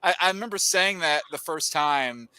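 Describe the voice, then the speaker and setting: A man's voice: on the high side, lively intonation, moderate pace, pushed and bright, clear, resonant tone. A man in his late twenties chatting on a livestream panel, talkative and stammering when he gets going. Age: 20s. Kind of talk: casual group chat.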